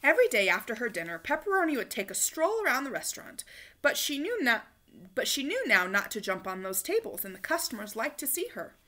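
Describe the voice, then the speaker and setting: A woman reads a children's storybook aloud. Her voice is high and bright, with big expressive swings. She leans on key words and plays up the drama. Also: brisk. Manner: animated, emphatic, acts out dialogue. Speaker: storybook reader. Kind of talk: expressive read-aloud of a children's picture book. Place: small living room, indoors on a couch.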